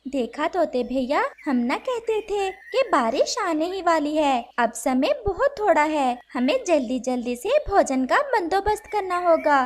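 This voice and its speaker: A woman voicing a caring, gentle mother sparrow in a Hindi children's cartoon, with simple, clearly addressed lines. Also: high-pitched, animated, measured pace, hardly any pauses, slight background noise. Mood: earnest, kindly.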